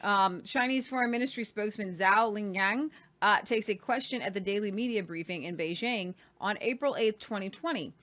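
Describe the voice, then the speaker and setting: A woman's voice: medium pitch, moderate pace, fairly bright tone. A woman hosting a news talk show, reading articles aloud with commentary and frequent "uh" fillers. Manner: reads steadily with frequent "uh" fillers.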